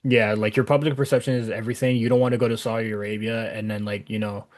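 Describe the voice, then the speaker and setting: A man's voice: lowish voice, flat delivery, quick pace. A man co-hosting a wrestling podcast in casual chat, laid-back and profane, laughing often. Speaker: podcast co-host.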